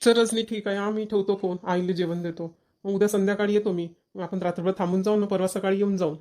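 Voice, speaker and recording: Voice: medium pitch, fairly even intonation, quick pace, dark tone, close to an everyday voice. A performer voicing an easygoing young village husband in a family cartoon, homely and conversational. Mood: mildly teasing.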